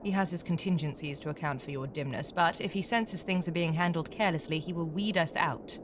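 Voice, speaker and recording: A woman's voice: low-pitched, brisk, background noise, natural voice. A woman playing a cold, exacting criminal conspirator with a formal manner of speech in an audio drama. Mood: stern warning. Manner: formal, precise, stern.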